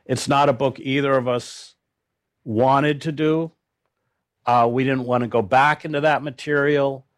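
An older man speaking as a journalist in an interview, recounting his work in a grave, deliberate, halting way. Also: medium pitch.

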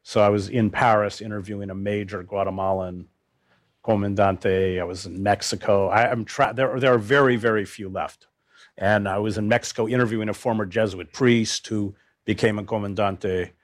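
An older man with a fairly low-pitched voice talks as a seasoned journalist being interviewed. His delivery is grave and deliberate. He often halts and restarts a phrase as he recounts his reporting. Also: steady tone.

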